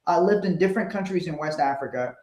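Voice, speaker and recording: A man's voice: a little high, expressive, quick pace, a little mellow. A man from Liberia co-hosting a motivational talk, speaking earnestly and often repeating words as he starts a sentence. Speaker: co-host. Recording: echoey room.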